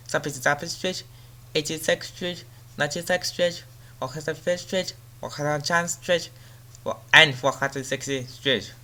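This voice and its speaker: A man delivers a transit service announcement as a train announcer, reading out information and stops. His voice sits a little high and has a clearly bright, forward edge. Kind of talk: subway service announcement, reading station lists.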